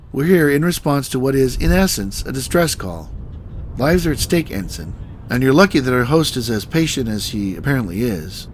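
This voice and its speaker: Starship captain, male actor, medium pitch: stern, articulate, weary authority for space drama. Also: natural voice. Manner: measured, articulate, reproving. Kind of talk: science fiction audio drama acting. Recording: faint background ambience noise.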